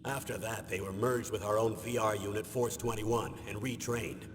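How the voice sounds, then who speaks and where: An actor playing a stern middle-aged military commander in a video game, speaking in a measured, grave way and leaning on names. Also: male voice, on the low side, steady tone, close to an everyday voice. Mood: grave, dismissive. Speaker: former special forces commander. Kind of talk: dramatic video game voice acting. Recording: echoing reverberant hall, noisy game audio.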